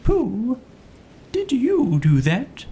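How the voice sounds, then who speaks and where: Man putting on a pompous, raised-pitch storybook owl. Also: wildly swooping pitch, mellow, slight background noise, heavily acted. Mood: annoyed. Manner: haughty, deliberate. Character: pompous, easily annoyed old male owl from a children's storybook.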